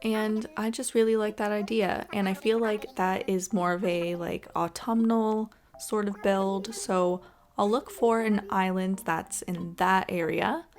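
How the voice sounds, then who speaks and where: A woman's voice: medium pitch, steady tone, a little slow. A woman hosting a gaming video with casual, chatty, encouraging commentary in an informal way. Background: background music.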